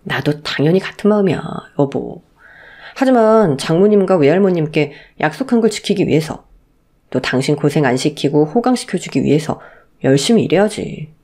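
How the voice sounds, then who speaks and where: A woman voicing a devoted, gentle husband reassuring his wife in a radio story drama. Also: low-pitched, animated, normal speed, voice pushed down. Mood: tender reassuring. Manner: tender, reassuring.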